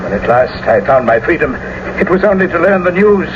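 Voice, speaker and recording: An actor playing an earnest young messenger with grave news in a classical radio drama. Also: male voice, on the high side, sing-song, brisk. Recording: dull, noisy old radio broadcast.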